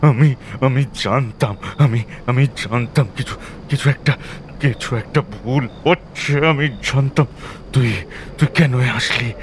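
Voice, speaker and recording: A man's voice: mid-range voice, takes it slowly, a little hiss or hum, natural voice. A man acting a middle-aged, ruthless tycoon in an audio thriller, broken and pleading, stammering and repeating himself in desperation. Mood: shocked disbelief. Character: ruthless tycoon, broken, panicked and pleading before his accuser.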